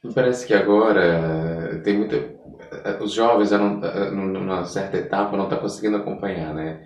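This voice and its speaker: A man's voice: low-pitched, normal speed, darkish tone. A man with a Brazilian accent chatting casually as an interviewer, asking and commenting in a tentative, informal way. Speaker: podcast interviewer. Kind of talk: casual chat. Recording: echoey room, distant from mic.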